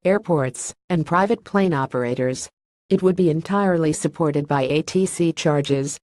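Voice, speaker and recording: A narrator reading an article aloud in a formal, measured, impersonal way. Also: medium pitch, a little mellow, no echo, clean studio sound.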